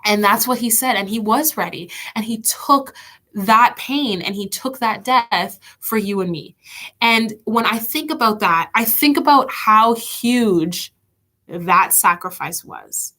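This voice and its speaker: A woman's voice: on the high side, slow pace, pushed and bright. A woman leading an online children's church lesson, chatty and encouraging, with a stuffy nose from allergies. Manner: chatty, encouraging, reads out kids' comments.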